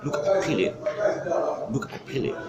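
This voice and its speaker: This is a man, coaching brand ambassadors in an earnest, emphatic way. He sits in an office and films himself on a camera close to him. The room sounds echoey, and a steady background noise runs under his voice. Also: medium pitch, moderate pace. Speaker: sales trainer. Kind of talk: motivational training talk. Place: office with wallpaper, seated in leather chair.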